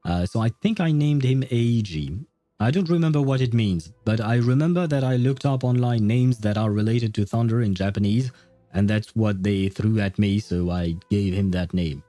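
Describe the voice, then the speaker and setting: A man's voice: fairly low pitch, normal speed, dark tone, no echo, faint music behind. A man chatting casually and a bit hesitantly with viewers as he hosts a gaming livestream, often rambling. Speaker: livestream host. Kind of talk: casual chat while streaming a game.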